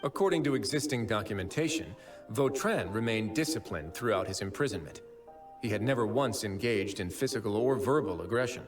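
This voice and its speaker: Man acting low, composed, shrewd gentleman overseer. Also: lively intonation, music in the background, close to an everyday voice. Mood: thoughtful. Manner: deliberate, articulate, assured. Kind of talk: video game voice acting.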